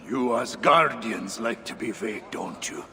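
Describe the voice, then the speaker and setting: Coarse swaggering game dwarf chief, gravelly and bright, faint noise behind. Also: male voice, fairly low pitch, expressive, unhurried, heavily acted. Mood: gruff, wry. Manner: blunt, earthy, swaggering. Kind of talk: video game cutscene acting.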